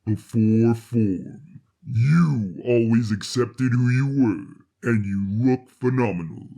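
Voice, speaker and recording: Man playing a slow, solemn reformed killer android. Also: mid-range voice, dark tone. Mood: earnest, confessional. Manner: formal, slow, deliberate speech. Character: resurrected male android, reformed murderer, solemn, defiant, earnest. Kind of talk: scripted audio drama acting.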